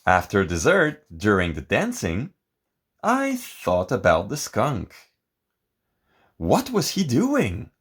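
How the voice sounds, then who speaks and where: A man reading a picture book aloud in a theatrical, expressive and deliberate way, as scripted narration. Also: lowish voice, husky. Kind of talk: dramatic scripted storybook narration.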